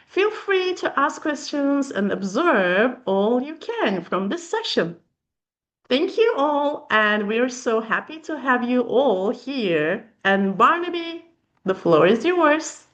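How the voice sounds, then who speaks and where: Lively higher-pitched woman moderating. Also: slow, highs cut off. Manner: lively, formal welcoming announcements. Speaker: webinar moderator. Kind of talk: scripted introduction.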